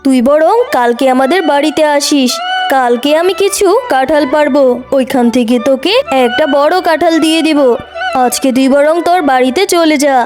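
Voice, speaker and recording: A woman's voice: high-pitched, moderate pace, close to an everyday voice. A woman voicing grown-up village animal women in a children's cartoon, motherly but firm, with music behind her. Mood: firm, scolding.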